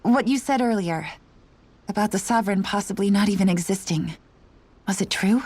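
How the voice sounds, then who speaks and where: A woman's voice: medium pitch, moderate pace, darkish tone, slightly rough, natural voice. An actress playing an anxious, tradition-bound noblewoman who protests in disbelief. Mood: incredulous. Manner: incredulous and protesting. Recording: game audio over music.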